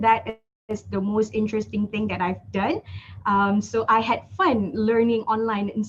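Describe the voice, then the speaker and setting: A woman's voice: a little high, darkish tone, a little hiss or hum. A young woman student speaking earnestly on an online panel, over a video call, with many fillers. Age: young adult. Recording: dull video call audio.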